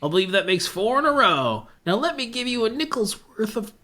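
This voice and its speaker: Woman voicing a male school disciplinarian, stern, scornful, animated pitch, table-read drama. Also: brisk.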